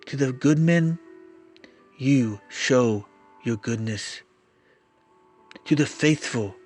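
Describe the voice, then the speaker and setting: Slow, dramatic man reading scripture. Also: fairly high pitch. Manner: solemn, theatrical, emphatic delivery with dramatic pauses. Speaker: scripture reader. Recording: music behind the voice. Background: music.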